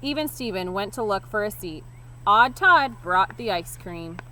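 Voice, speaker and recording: A woman teacher reading a children's story aloud expressively, leaning deliberately on key words. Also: medium pitch, animated, pushed and bright, low-quality recording. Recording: clear background noise.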